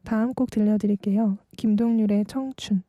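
Soft-spoken young woman DJ, dark tone. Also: medium pitch, fairly even intonation, normal speed. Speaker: radio DJ. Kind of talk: late-night radio DJ script.

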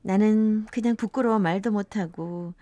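A woman's voice: medium pitch, moderate pace, dark tone, no room sound, natural voice. A woman playing a wistful narrator in her fifties, telling a sentimental first-love story for a radio drama. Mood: wistful, reflective. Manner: sentimental, reflective.

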